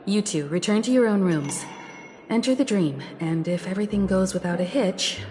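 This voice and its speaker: A woman's voice: mid-range voice, normal speed, a crowd in the background, natural voice. A woman voicing a composed, courteous leader of a crew in a video game, polite and decisive. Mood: composed, resolute.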